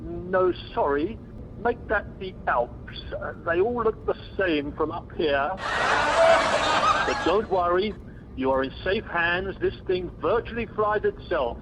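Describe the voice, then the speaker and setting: A man acts out a pompous, bumbling airline captain from an amateur radio comedy. His fairly high voice swings freely in pitch as he breezes through his patter. It comes over a dull, slightly noisy conference line. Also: big pitch swings, slow, full character voice. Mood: breezy, bumbling. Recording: muffled conference-call line with noise.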